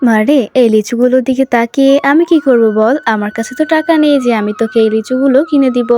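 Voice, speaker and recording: Mellow, slightly high cartoon mother voiced by a woman. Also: fast, soft background music, lightly acted. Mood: weary explaining. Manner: explanatory, exasperated but affectionate. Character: weary, poor, gently scolding mother. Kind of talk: children's cartoon dubbing.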